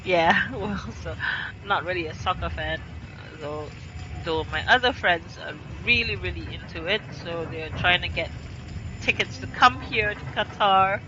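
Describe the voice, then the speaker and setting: A woman's voice: on the low side, measured pace, lo-fi sound, noisy background. A woman from Singapore chatting casually while streaming a game from her home in Qatar, meandering and full of fillers.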